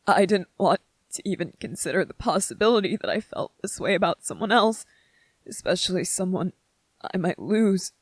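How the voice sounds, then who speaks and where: A performer playing a gentle young male soldier in an intimate ASMR roleplay, speaking tenderly and haltingly with vulnerable, earnest emotion. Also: medium pitch, lively intonation, slightly rough, dry recording. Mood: hesitant confession.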